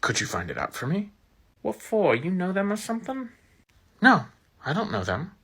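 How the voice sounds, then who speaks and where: Someone doing an awkward earnest young guy in a storybook, bright, little husky. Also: mid-range voice, wildly swooping pitch, moderate pace, full character voice.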